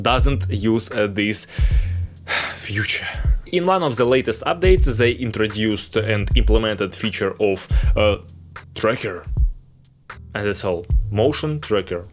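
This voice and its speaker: Man, Russian accent, young adult, frustrated tech rant, mid-pitched voice, laughs between complaints. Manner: frustrated, frequent laughs and fillers. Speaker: video editing YouTuber. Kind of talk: opinionated tech vlog rant.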